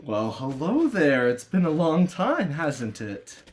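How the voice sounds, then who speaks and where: A man's voice: a little high, huge pitch swings, slow, slight background noise, full character voice. A man playing a lonely, self-deprecating teenage boy in a stage play reading, wry and hesitant, as if talking to himself. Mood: wistful.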